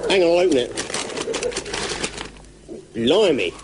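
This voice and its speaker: An actor playing a dim, obliging, literal-minded police sergeant with a deadpan manner in a radio comedy. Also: male voice, a little high, takes it slowly, noisy background. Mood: dim, earnest.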